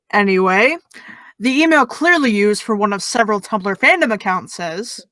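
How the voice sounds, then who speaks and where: A woman's voice: mid-range voice, lively intonation, unhurried, pushed and bright, no room sound. An actress playing a snarky teenage girl who co-hosts a podcast and reads the mailbag with teasing sarcasm. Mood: sarcastic. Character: snarky teen girl podcaster reading mail. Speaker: podcast co-host.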